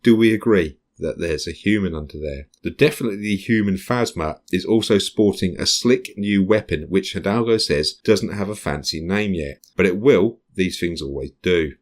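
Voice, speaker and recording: Deep-voiced man musing casually. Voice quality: low voice, hesitant with many ums. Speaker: podcast host. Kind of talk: casual chat, reading news aloud.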